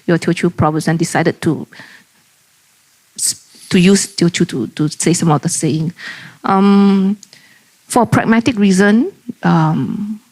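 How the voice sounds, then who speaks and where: Older woman, chatty and animated. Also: on the low side, a little slow, poor audio. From Singapore. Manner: chatty, animated, many ums and self-corrections. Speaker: poet on a festival panel. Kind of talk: panel conversation. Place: large bright public hall indoors. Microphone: handheld microphone.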